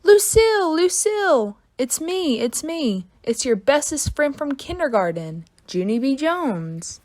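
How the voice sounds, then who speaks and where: Woman playing an excitable first-grade girl, animated and pitched up. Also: a little slow. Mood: joyful, shouting. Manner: gushing, exclamatory, childlike phrasing. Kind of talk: children's storybook read-aloud.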